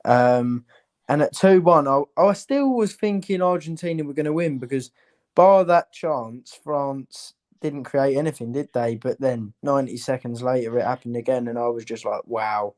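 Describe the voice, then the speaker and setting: A man's voice: medium pitch, moderate pace, darkish tone. A man giving blunt, opinionated football analysis as a panellist in casual chat.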